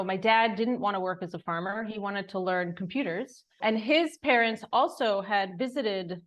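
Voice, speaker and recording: Female interview guest, American, mid-range pitch, relaxed conversational storytelling. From New York, United States.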